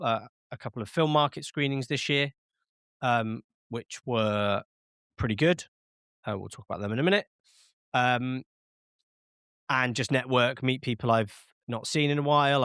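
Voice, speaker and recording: A man's voice: medium pitch, a bit bright, no room sound, clean studio sound. A man hosting a casual film podcast, chatting with frequent fillers and restarts while sounding slightly nasal from a cold. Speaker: podcast host.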